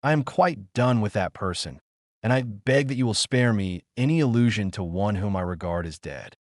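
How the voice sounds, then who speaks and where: A man's voice: fairly low pitch, expressive, moderate pace, no echo, natural voice. Dying older male doctor, performed by a male narrator. Audiobook drama; shaken, bitter, resigned, unsteady, dry sound. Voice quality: weak, unsteady.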